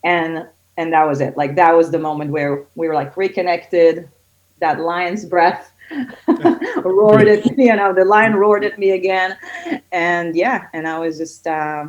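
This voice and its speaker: Woman from Israel, middle-aged, low voice, chatty with fillers, dull lo-fi sound. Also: a little slow. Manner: chatty, many fillers, gets excited when telling stories. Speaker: guest, kids yoga studio owner. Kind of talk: casual podcast interview. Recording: dull lo-fi audio.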